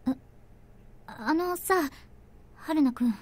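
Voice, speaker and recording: A young woman in an anime visual novel. Her voice sits high. She is earnest and tender, with a halting, emotive delivery that is quick to show hurt. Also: mellow. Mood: hesitant. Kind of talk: Japanese visual novel voice acting.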